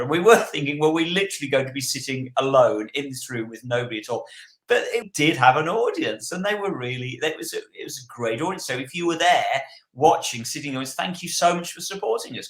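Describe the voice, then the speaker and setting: A man chatting casually and effusively as a podcast co-host. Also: medium pitch. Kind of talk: casual unscripted chat. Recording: roomy, echoing sound.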